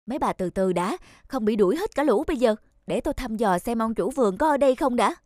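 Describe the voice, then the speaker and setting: An actor voicing a bossy, wary, teasing young photographer in a Vietnamese cartoon, chattering away. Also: male voice, very high-pitched, animated, fast, a little mellow, no echo, clean studio sound. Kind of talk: cartoon voice acting. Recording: music under the voice.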